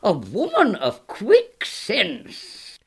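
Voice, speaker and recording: A woman playing a courtly, teasing Greek commander with a husky voice in a Shakespearean audio drama. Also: mid-range voice, wildly swooping pitch, extremely slow, exaggerated voice. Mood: courtly, wry.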